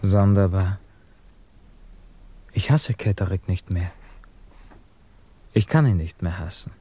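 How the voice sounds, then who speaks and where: A man's voice: low voice, takes it slowly, mellow, husky, full character voice. Earnest, troubled, lovesick man. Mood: brooding urgency. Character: anguished, lovesick physicist man longing to escape his life. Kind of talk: 1950s German radio play acting.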